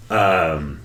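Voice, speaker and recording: A man playing a tabletop game, casually joking as he describes what his character does. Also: low-pitched. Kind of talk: casual joking banter in play. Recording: roomy echo with background noise.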